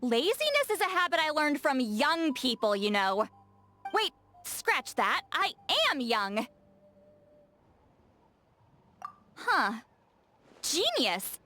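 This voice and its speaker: A woman voice acting a touchy, lazy, bookish young-seeming shaman in a video game, expressive and defensive, with hesitant asides and self-corrections. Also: high-pitched, slow, soft background music, full character voice. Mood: defensive, flustered. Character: touchy, lazy, bookish female shaman, youthful but secretly very old.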